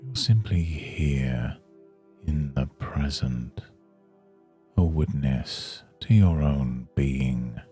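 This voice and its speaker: A man guiding a yoga nidra meditation in a soothing, hushed, unhurried manner. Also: rumbling low, faint music behind. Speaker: meditation guide.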